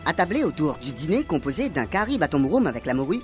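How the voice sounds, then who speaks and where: Lively radio serial narrator over music. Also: medium pitch, fast talker.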